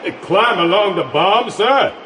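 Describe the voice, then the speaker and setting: A man's voice: sits high, animated, a little slow, pushed and bright, full character voice. An actor playing a dutiful, respectful and anxious young military airman in a radio play. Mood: worried. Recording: distant, very noisy aircraft drone.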